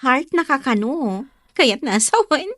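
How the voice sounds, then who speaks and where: A woman's voice: high voice, sing-song, measured pace, fairly bright tone, no room sound, clean studio sound, heavily acted. An actress with an Ilocano accent playing a teasing, meddling older woman in a radio drama. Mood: playful teasing.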